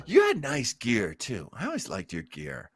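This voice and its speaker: A man chatting casually and telling stories in an animated, emphatic way. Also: mid-range voice, slightly rough, no room sound, clean studio sound. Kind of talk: casual chat about pro wrestling.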